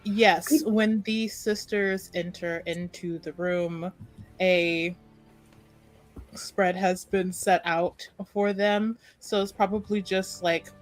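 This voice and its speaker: A woman's voice: medium pitch, fairly even intonation, slow pace, soft background music, rough recording. A woman casually describing a scene in a tabletop roleplaying game, feeling her way through tentative phrases.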